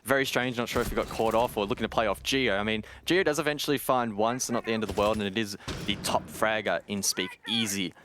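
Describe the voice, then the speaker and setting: A man's voice: fairly low pitch, fairly even intonation, fairly quick, few pauses, fairly bright tone. A man giving live play-by-play commentary on an esports match in a conversational way. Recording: game noise, gunfire and clicks behind.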